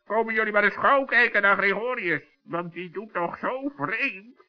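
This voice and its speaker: Guy doing a stuffy dignified bird, high voice, reproving, old kids radio play. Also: lightly acted. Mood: dry, reproving. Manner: formal, deliberate, reproving. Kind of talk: 1960s children's radio play acting. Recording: dull old radio recording with music.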